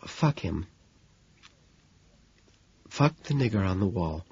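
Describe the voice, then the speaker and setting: A man voicing a composed, soothing woman in an audiobook, gently concerned and quietly amused, with soft questions. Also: medium pitch, sing-song, measured pace, slightly rough, pitched above the performer's own voice. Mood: hesitant. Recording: dull, lo-fi, roomy sound.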